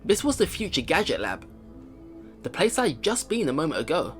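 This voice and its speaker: High-voiced, animated male let's player. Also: fairly quick. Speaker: let's play host. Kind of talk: reading game narration aloud with casual reactions. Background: game music.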